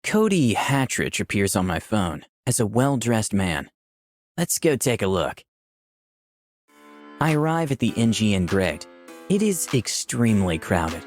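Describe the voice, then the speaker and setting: An actor playing a composed, charming incarnation of lust, in visual novel dialogue and inner monologue. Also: male voice, lowish voice, sing-song, unhurried, soft background music. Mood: musing.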